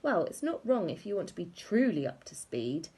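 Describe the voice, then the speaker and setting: A woman plays a smug little schoolboy of eight in a children's storybook. He talks down to others in a knowing, condescending way, with a husky edge to the voice. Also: on the low side, very animated, moderate pace, mellow.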